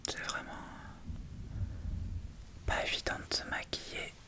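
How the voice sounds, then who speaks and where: A woman whispering an ASMR makeup tutorial, hushed and unhurried, talking through each step as she goes. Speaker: ASMR video creator. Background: soft thunderstorm ambience.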